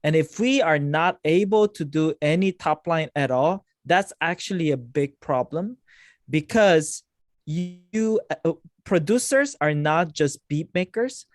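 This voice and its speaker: A man's voice: on the high side, animated, measured pace, no echo. Man teaching music production on a call, dry sound. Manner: explains with many likes and restarts. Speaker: music producer hosting a masterclass. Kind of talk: teaching over a video call. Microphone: video call.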